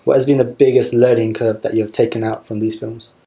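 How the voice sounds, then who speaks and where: A man's voice: mid-range voice, flat delivery, a little fast, mellow. A man hosting a casual radio interview, putting questions to his guest.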